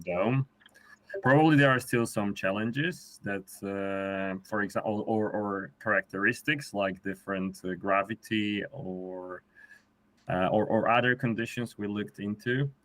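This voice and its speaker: A man's voice: fairly low pitch, bright, forceful tone, no room sound. A man from Poland, explaining a project on a live video call, hesitant with many fillers and restarts. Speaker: designer on a live panel. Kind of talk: casual explanatory briefing.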